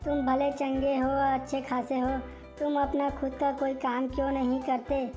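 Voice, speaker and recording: A woman's voice: sits high, flat delivery, moderate pace, music in the background, natural voice. A woman voicing a small, hardworking female bird in a cartoon moral story, earnest and moralizing. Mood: scolding, pleading. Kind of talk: Hindi animated moral story dialogue.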